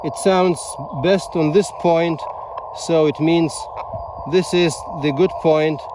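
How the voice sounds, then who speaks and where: A man talking casually and thinking aloud in halting phrases as he demonstrates amateur radio gear outdoors. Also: a little high, fairly even intonation. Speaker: amateur radio operator demonstrating his portable setup. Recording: loud outdoor ambient noise.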